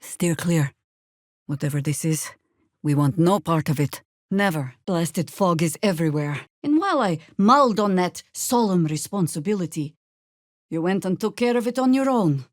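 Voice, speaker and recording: A woman playing a seasoned, wry, world-weary warrior druid in a video game, speaking in a dry, sardonic, measured way. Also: lowish voice, lively intonation, no room sound, lightly acted. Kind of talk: dramatic video game character dialogue.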